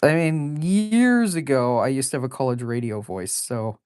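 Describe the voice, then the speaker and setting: A woman in her forties with a low, deep voice, chatting casually while drawing live. She rambles, laughs often, and fills pauses with plenty of ums and uhs. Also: a little mellow, smooth, no room sound, clean studio sound. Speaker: artist drawing live on stream.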